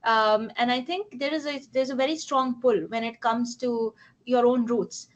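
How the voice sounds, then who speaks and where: A woman with an Indian accent hosts an interview in a conversational style. Her voice is fairly high-pitched. The recording sounds a bit dull and lo-fi. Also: normal speed. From Ranchi, India. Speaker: interviewer, host. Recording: dull, lo-fi audio.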